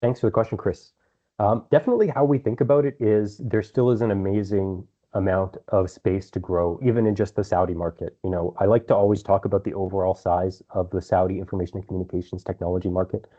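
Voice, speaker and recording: Very low, dark-voiced man on a conference call. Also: fairly even intonation, quick pace. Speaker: company executive answering investor questions. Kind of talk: earnings call answers, semi-prepared business talk. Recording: dull, band-limited conference call audio.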